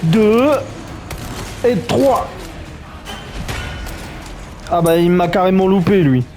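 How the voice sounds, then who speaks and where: A man's voice: sits high, takes it slowly, dark tone, faint music behind. A man commenting on a game as he plays, in a chatty, joking, reactive way. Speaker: gaming streamer. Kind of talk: let's play commentary.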